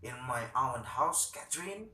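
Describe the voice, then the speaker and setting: A man acts out a stubborn, indignant idealist doctor of middle age in a stage play. His delivery is defiant and halting, with a very sharp, forceful tone and lively swings in pitch. The room rings around him. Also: reverberant, far from the mic.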